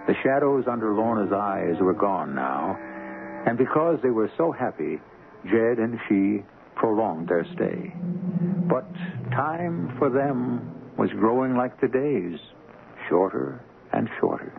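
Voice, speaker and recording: A man acting as the solemn host of a 1970s radio mystery, narrating in a measured, portentous way. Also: fairly low pitch, animated, vocal fry, noisy background, heavily acted. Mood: grave, ominous. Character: grave storyteller foretelling doom. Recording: muffled, narrow old radio broadcast with music.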